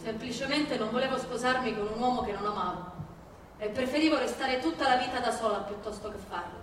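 A woman's voice: on the high side, brisk, noisy background. A woman giving a scripted dramatic reading as a stage narrator. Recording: echoey room, distant mic, music behind. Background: live music.

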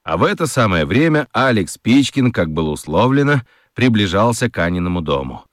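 A man with a low voice narrates a children's story with lively, wide intonation. The delivery is scripted and expressive, recorded close and dry. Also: brisk, no room sound.

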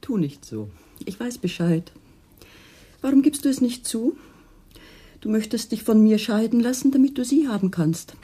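Eine Schauspielerin spielt in einem deutschen Krimihörspiel eine eifersüchtige, verletzte, bitter anklagende Ehefrau mit hauchiger Stimme. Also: female voice, fairly high pitch, animated, moderate pace, pauses often, dark tone. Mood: jealous, accusing. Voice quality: husky, breathy, often near a whisper. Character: jealous, wounded, accusing wife, bitterly manipulative. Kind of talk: German radio crime drama acting.

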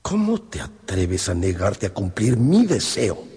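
An actor playing a haughty, imperious cardinal in a radio drama, with a raspy voice and deliberate delivery. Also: male voice, fairly low pitch, wildly swooping pitch, dark tone, exaggerated voice. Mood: indignant, threatening. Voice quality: husky, raspy. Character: haughty, menacing powerful male cardinal. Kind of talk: Spanish-language radio drama acting. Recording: long hall-like reverb.

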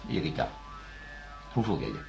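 An actor playing a calm, patient male psychiatrist in a science fiction radio drama, speaking formally and deliberately. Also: close to an everyday voice. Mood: patient, reassuring. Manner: measured, formal, deliberate phrasing with pauses. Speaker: doctor. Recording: dull lo-fi radio recording, music.

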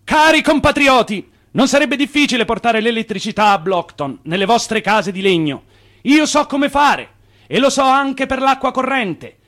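A high-pitched male voice in a radio play. He is an immigrant dreamer, ardent and charming, impulsive in his ideals. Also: lively intonation, moderate pace, fairly bright tone. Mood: rousing oratory. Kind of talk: radio play acting, shifting between narration and oratory.

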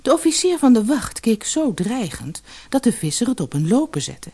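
A woman's voice: a little high, big pitch swings, normal speed, mellow, husky. A woman reading a scripted fairy tale as an audiobook narrator.

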